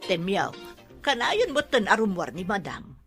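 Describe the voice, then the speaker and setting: A woman's voice: mid-range voice, sing-song, normal speed, over music. A woman playing a curious, questioning household companion in an Ilocano radio drama.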